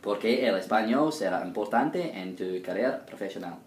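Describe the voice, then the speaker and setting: A young man reading set interview questions in a plain, even way, recorded in an echoey room. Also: lowish voice, moderate pace. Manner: reads set questions, brief thanks. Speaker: student interviewer. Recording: echoey room, faint noise.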